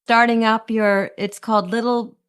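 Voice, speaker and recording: A woman's voice: little pitch movement, normal speed, a bit bright, clean tone, dry recording, clean studio sound. A middle-aged woman hosting a podcast, reading a guest introduction and then chatting with enthusiasm. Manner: enthusiastic, with sudden high lifts in pitch. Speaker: podcast host.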